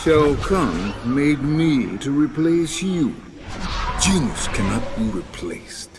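Arrogant, slow-spoken sorcerer. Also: male voice, medium pitch, big pitch swings. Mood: haughty. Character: arrogant scheming male sorcerer, sly and menacing. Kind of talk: video game character dialogue. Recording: music behind the voice.

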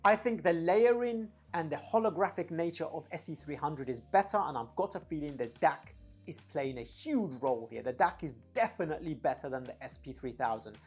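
A man's voice: a little high, animated, a little fast, breathy edge, faint music behind. A man in his thirties giving an enthusiastic, emphatic, casual product review to camera as an audio gear reviewer. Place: small home studio room, indoors. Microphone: lavalier clipped at the chest.

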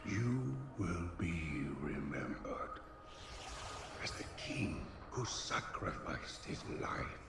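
An actor playing a cruel, mocking demonic warlock in a video game cinematic, with a slow, taunting growl. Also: male voice, very animated, full character voice. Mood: cruel gloating. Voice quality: deep gravelly growl.

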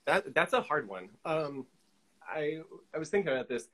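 A man's voice: a little high, sing-song, normal speed, fairly bright tone. A middle-aged man chatting casually as a guest on a video call. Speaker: guest artist. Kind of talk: casual chat. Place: indoor room at home, on a video call.

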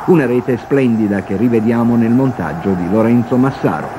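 A man's voice: medium pitch, moderate pace. A man reading a scripted football match report as a television sports reporter. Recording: noisy archive TV broadcast.

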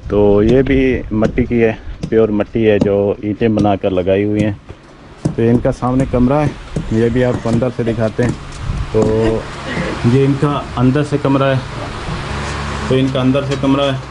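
A man's voice: medium pitch, fairly even intonation, unhurried. Man, vlog host, friendly questions, outdoors, handheld camera, background noise. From Pakistan. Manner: friendly, asks short probing questions. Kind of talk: walking tour with questions. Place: outdoors in a desert village. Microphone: camera held by the speaker.

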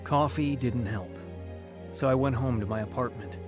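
A troubled young man played by a male actor recounts his life with earnest drama, as in a radio testimony. His voice sits at a middle pitch, with heavy music underneath. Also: moderate pace, close to an everyday voice. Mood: grave, confessional. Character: troubled young drug-using man telling his conversion story. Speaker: narrator and lead actor.

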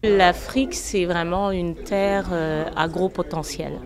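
Low-voiced woman interviewed amid crowd chatter. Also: fairly even intonation, slow pace. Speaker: convention participant. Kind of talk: on-camera interview answer. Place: hotel conference hall, indoors. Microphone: handheld reporter microphone. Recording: busy crowd chatter behind.

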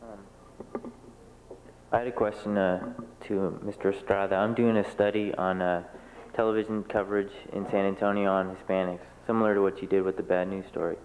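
A young man standing in the audience of a lecture hall, asking a panel a question in a plain, slightly halting way. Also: low voice, flat delivery, a little mellow, slight background noise. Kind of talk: question from the floor.

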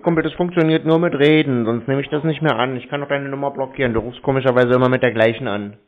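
A man answering cold calls in casual, terse, deadpan stonewalling replies. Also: mid-range voice, fast talker. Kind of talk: casual phone talk. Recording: thin, band-limited phone call sound.

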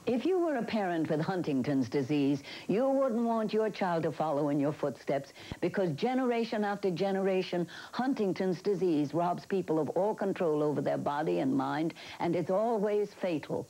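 A woman's voice: on the low side, expressive, moderate pace, hardly any pauses, warm tone, poor audio. A woman in her seventies making an earnest scripted charity appeal. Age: 70s. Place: living room, indoors.

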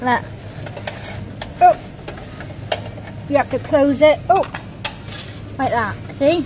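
A woman's voice: high voice, slow pace. A woman with a Welsh accent, a mother chatting and coaxing her child while filming a casual vlog on a handheld phone in a restaurant. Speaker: vlogger, mother. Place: indoor buffet restaurant. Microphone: handheld phone camera. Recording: noisy restaurant ambience.

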